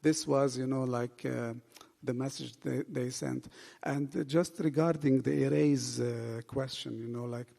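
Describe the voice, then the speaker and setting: Gravelly man with hall reverb. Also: mid-range voice, fairly even intonation, dark tone. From Lebanon. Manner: earnest, halting, many "you know" and "like" fillers. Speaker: panel speaker. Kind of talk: panel talk at a book event. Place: bookshop event room.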